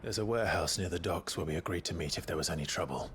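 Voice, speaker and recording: Deep-voiced, grave warrior leader. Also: male voice, quick pace, fairly bright tone, a little hiss or hum. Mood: grave, restrained. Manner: terse, decisive, low-key. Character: grave, resolute male warrior leader, protective brother. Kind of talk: cinematic game dialogue.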